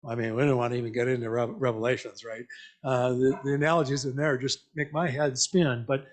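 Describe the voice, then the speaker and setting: A man giving an informal, interactive church message in a conversational, reflective, halting way, often checking in with questions. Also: mid-range voice, level tone. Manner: invites listeners with questions. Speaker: lay church speaker.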